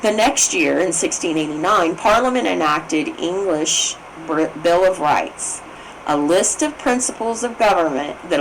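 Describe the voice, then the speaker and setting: A woman with a fairly low voice reads a formal text aloud. Her intonation moves around a lot, and her careful delivery has small stumbles and corrections. Some background noise sits behind her. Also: low-quality recording. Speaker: reader of a book on constitutional history. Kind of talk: read-aloud scripted narration of a formal text.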